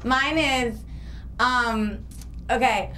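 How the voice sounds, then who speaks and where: A woman's voice: on the high side, drawn-out words, bright, forceful tone, slight background noise. A woman co-hosting a comedy talk show, joking and giggling through her lines. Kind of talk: casual comedy banter.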